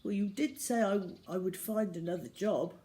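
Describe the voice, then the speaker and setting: A woman's voice: medium pitch, moderate pace, mellow, close to an everyday voice. A woman voicing a defeated, doubtful father with a bewildered manner in a children's story. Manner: bewildered, sceptical.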